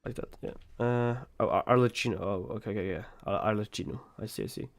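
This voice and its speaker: A man livestreaming a video game, giving casual, halting commentary and replying to chat, with some noise behind him. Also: fairly low pitch, vocal fry.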